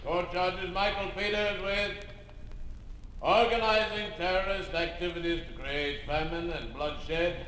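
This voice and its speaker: An actor playing a cold, pompous regime official, speaking in formal, deliberate oratory in a 1950s radio drama. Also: male voice, high voice, fairly even intonation, fairly bright tone. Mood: cold, accusing. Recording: echoey hall, faintly noisy old radio recording.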